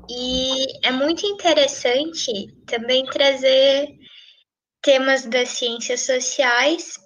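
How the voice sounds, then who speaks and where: A woman with a Brazilian Portuguese accent giving essay-writing tips in an online class, in an unhurried, advising way with light humor. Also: sits high, a bit bright, low-quality recording.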